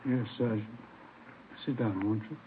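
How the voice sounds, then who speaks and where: A man's voice: lowish voice, unhurried, close to an everyday voice. An actor playing a heartbroken, weary old grandfather in a 1950s radio drama. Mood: wounded, weary. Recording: tinny, noisy old radio recording.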